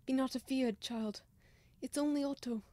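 Woman as a high-voiced, lamenting old servant man. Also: fairly even intonation, normal speed, dark tone, dry recording, close to an everyday voice. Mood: kindly, concerned. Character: pious, timid old male servant, kindly and lamenting. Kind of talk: old-fashioned radio drama acting.

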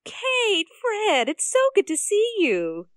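Excitable, very high-voiced young girl. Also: slow, no echo, clean studio sound, full character voice. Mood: excited. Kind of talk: audio drama acting.

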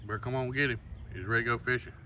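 A man's voice: mid-range voice, very fast. A middle-aged man, chatting folksy to viewers while fishing from a boat on a lake. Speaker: fishing channel host. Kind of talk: casual fishing vlog talk. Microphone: camera on the boat, phone selfie. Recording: loud outdoor noise behind.

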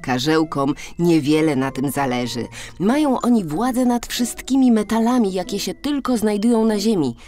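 A woman narrating a children's fairy tale in an expressive, dramatic storytelling manner. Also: medium pitch, normal speed. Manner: animated, dramatic storytelling delivery. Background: background music.

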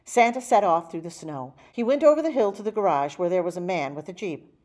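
Expressive woman reading a storybook, lively pitch. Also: brisk. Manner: animated, acting out dialogue. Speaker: storybook reader. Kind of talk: expressive children's picture-book read-aloud.

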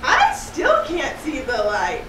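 An eager little puppet sailor, voiced by a woman, speaks emphatically at a very high pitch. The delivery is unhurried and swoops up and down. It sounds like a children's show in a roomy space. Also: huge pitch swings, a bit bright, full character voice. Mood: curious. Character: eager, excitable young puppet sailor creature. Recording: roomy echo, slightly distant mic, faint noise.

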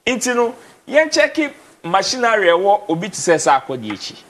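Young Ghanaian male studio guest, high-pitched, animated, code-switching Twi and English, lapel mic. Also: normal speed. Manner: animated, gestures, mixes Twi and English, repeats words. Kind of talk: casual TV studio discussion. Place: TV studio, indoors.

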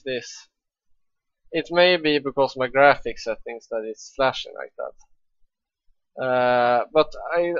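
Excitable Swedish-accented young man. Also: mid-range voice, dry recording, muffled recording. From Sweden. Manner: chatty, excitable, swears freely. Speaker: let's play gamer. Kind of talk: casual gameplay commentary.

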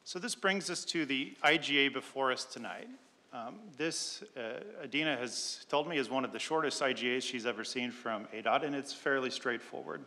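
A man in his thirties presenting an item to a city council in a relaxed, plain way, with many fillers, at a desk microphone in a large chamber. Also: medium pitch, moderate pace. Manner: relaxed, filler-heavy explaining. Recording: long reverb of a council chamber.

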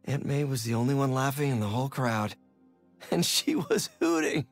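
An actor playing an earnest, self-deprecating young superhero in a video game, casual and wry. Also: male voice, mid-range voice, moderate pace, soft background music, natural voice. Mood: amused. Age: young adult.

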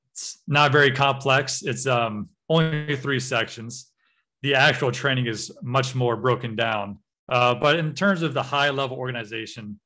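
American man giving a relaxed training talk. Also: mid-range voice. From United States. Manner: relaxed, frequent uh and um fillers. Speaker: cybersecurity trainer. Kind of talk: online workshop lecture over slides.

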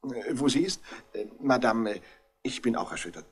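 An actor playing a self-important, stiffly formal politician who stammers, in German 1960s radio drama style. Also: male voice, mid-range voice, lively intonation, normal speed, husky. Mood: awkward, formal. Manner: pompous, stammering over condolences.

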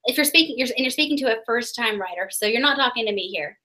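Young woman asking questions on a webcam call from her bedroom, teasing, higher voice. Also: animated, fast, pushed and bright. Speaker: interviewer. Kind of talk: casual video call interview. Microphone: webcam mic on a video call.

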